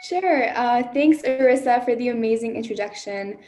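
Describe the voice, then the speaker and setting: A young woman from the UAE, an events director, giving a friendly quick introduction. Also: a little high, dark tone, soft background music. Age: young adult.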